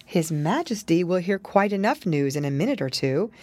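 A woman voicing a little old fairy godmother in a storybook, gentle, solemn and knowing, and calmly unshakable. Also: medium pitch, measured pace. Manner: calm, knowing. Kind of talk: storybook character voice.

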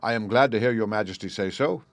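A man's voice: fairly low pitch, fast, no room sound, lightly acted. A man doing a cold, clipped detective. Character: cool, reserved male detective, precise.

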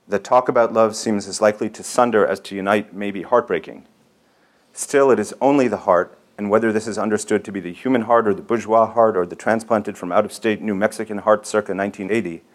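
A man reading a formal, erudite introduction as an event host, with deliberate phrasing. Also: on the low side. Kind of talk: scripted literary introduction read aloud.

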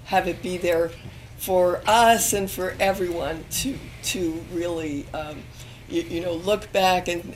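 A woman's voice: lowish voice, slight background noise, rough recording. A woman who is losing her voice, speaking earnestly as a panelist with fillers and restarts. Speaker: panelist, former student newspaper editor. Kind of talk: panel talk.

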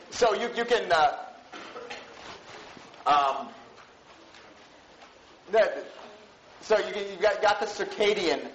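A man lectures to a class in a fairly high voice. He explains conversationally and often breaks off to restart and correct himself. The room is echoey, with slight background noise. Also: fairly bright tone. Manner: halting, rambling, frequent false starts and self-corrections. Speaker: university psychology lecturer.

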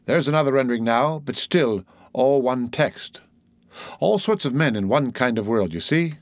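A man's voice: mid-range voice, expressive, moderate pace, no room sound, natural voice. A man voicing a jocular old sailor who mutters exclamatory asides to himself in a theatrical storybook reading. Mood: amused, sly.

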